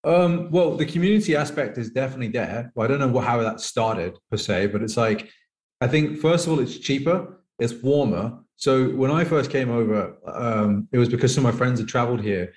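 Man, 30s, from the UK, poker pro guest, quick rambling speech, roomy echo. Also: mid-range voice, animated, a little mellow. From United Kingdom. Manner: rambling, heavy use of "like". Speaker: professional poker player guest. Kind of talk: casual interview chat. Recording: echoey room.

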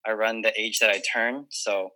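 Guest, 20s man from Hawaii, sharp, low voice, earnest on a video call. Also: fairly even intonation, normal speed, rough recording. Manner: earnest and reflective, with many fillers. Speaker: podcast guest. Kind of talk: casual interview chat.

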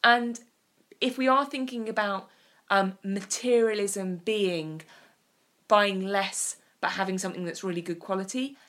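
A woman's voice: mid-range voice, fairly even intonation, measured pace, a bit bright. A woman co-hosting a casual chat, talking with eager emphasis about design. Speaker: podcast co-host.

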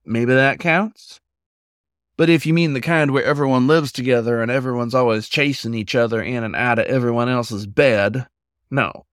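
A man's voice: mid-range voice, steady tone, moderate pace, fairly bright tone, no echo, natural voice. Guy doing a folksy old trucker werewolf for a storybook, frank, thoughtful, dry sound. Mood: easygoing, frank. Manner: rustic folksy drawl wording.

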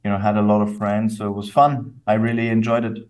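A young adult man from Austria, bilingual in English and German, answering interview questions in a thoughtful, measured way with fillers and restarts. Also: lowish voice, level tone, a little mellow, far from the mic. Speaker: company co-founder, guest.

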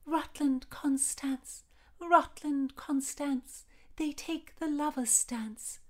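A woman's voice: very high-pitched, steady tone, slow, a bit bright, voice pushed up, heavily acted. A woman voicing a lovestruck, giddy young Tudor woman in a storybook reading. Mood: giddy singsong.

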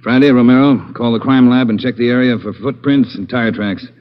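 An actor playing a curt police captain who gives orders in a 1940s radio crime drama. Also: male voice, mid-range voice, steady tone, fairly quick, slight background noise, natural voice. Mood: commanding. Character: gruff police captain, commanding. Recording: dull lo-fi 1940s radio broadcast.

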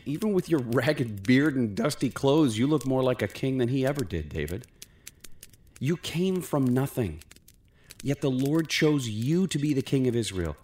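Picture a loyal young prince from a storybook, earnest and reassuring, his voice sitting a little higher than the storyteller's own, as a male narrator puts him on. He talks softly and with feeling, in a normal middle register. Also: big pitch swings, normal speed, lightly acted. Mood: encouraging. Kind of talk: storybook character voice.

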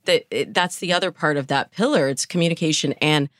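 A woman's voice: low voice, fairly quick, no room sound, studio quality. A woman speaking as a dating coach and interview guest, explaining her advice conversationally with illustrative example phrases.

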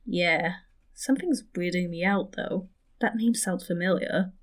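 A wary, inquisitive woman played by an actress in an audio drama conversation. Her voice is pitched a little low. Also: a little slow, lightly acted. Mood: wary, polite. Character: wary, inquisitive woman visiting an old friend.

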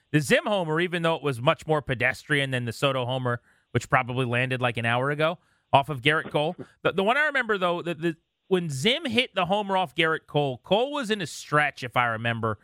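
Bright, forceful male sports radio host. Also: mid-range voice, clean tone, dry recording, clean studio sound. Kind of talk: conversational sports interview.

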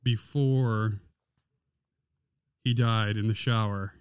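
A man with a naturally deep, smooth voice chats casually on a podcast, drifting through stories with plenty of fillers. The studio mic sounds close and dry, with no room around it. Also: fairly even intonation, takes it slowly, no room sound. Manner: easygoing, rambling with fillers. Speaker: podcast co-host. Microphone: podcast studio microphone.

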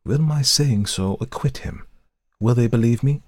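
A man voicing a calm, cold foreign woman in an audiobook, quiet and deliberate, with hatred smoldering underneath. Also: mid-range voice, no room sound, studio quality, pitched above the performer's own voice, close to an everyday voice. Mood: cold, controlled. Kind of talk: audiobook character voice.

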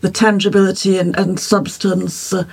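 Gravelly, husky, measured panel speaker. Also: medium pitch, little pitch movement. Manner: measured, deliberate, many pauses with "uh". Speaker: panel guest poet. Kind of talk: panel discussion.

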